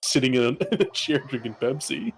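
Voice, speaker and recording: A man's voice: medium pitch, lively intonation, fairly quick, creaky, slightly rough, poor audio. A young man in his twenties co-hosting a live stream, joking casually, laughing often and trailing off mid-sentence. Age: 20s. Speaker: stream co-host. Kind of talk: casual chat.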